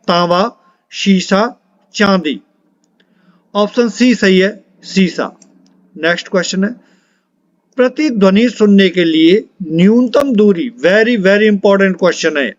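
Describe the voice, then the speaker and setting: A man's voice: high-pitched, no echo, muffled recording. A man, an exam coaching teacher reading out quiz questions and answers in an instructional way, repeating for emphasis.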